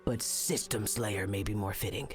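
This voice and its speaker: A man's voice: on the low side, normal speed, close to an everyday voice. A man voicing a wisecracking young male space captain in a video game, breezy and joking. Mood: cocky. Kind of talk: video game cutscene acting. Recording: game cutscene with loud music behind.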